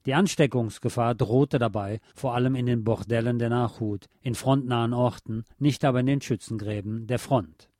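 Male documentary narrator, mid-pitched, dark mellow tone, measured factual read from a script, very dry sound. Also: steady tone, no room sound. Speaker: radio presenter reading a historical feature.

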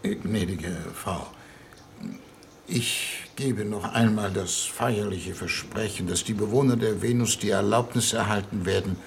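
Pompous gravelly diplomat, solemn speechifying, old 50s radio drama, bit of hiss. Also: male voice, on the low side, steady tone. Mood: solemn, insistent. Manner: solemn, rhetorical, halting. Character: formal, pompous statesman leading a diplomatic mission. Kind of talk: 1950s German radio play acting. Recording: noisy 1950s radio recording.

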